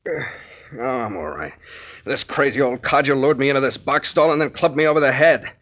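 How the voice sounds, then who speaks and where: An actor playing a calm, commanding male captain and detective in a 1940s radio drama. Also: medium pitch, normal speed.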